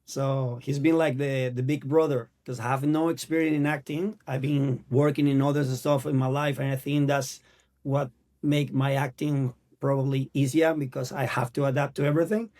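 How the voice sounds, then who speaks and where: A man in his thirties from Venezuela with a Venezuelan Spanish accent, Spanish as his first language, telling his story as an actor guest in an earnest, halting way. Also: mid-range voice, little pitch movement, darkish tone. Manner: earnest, halting non-native English. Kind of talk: casual interview.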